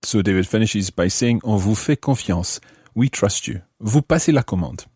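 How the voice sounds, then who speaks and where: A man hosting a language lesson, explaining phrases in a measured way and repeating them for learners. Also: fairly low pitch, lively intonation, darkish tone, husky, no room sound, studio quality. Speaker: language teacher and podcast host. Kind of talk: lesson explanation, switching between English and French.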